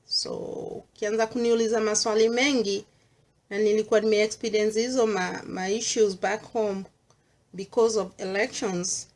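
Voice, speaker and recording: Earnest middle-aged Kenyan woman, personal vlog monologue, medium pitch, mixing in Swahili. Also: steady tone, normal speed. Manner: earnest, mixes English with Swahili. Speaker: vlogger sharing personal views.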